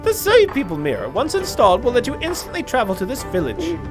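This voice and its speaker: A man's voice: very high-pitched, huge pitch swings, quick pace, over music, voice pushed up, full character voice. A man voicing a proud, solemn elder of a sea people in a video game, speaking formally and grandly. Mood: haughty, grudging.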